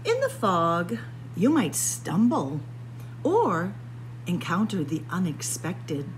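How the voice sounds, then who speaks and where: A woman reads a picture book aloud for young children at a very unhurried pace. Her delivery is sing-song and animated, stretching phrases and leaving dramatic pauses. There is faint noise behind her voice. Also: fairly low pitch. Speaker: library story time host. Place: indoors among library bookshelves.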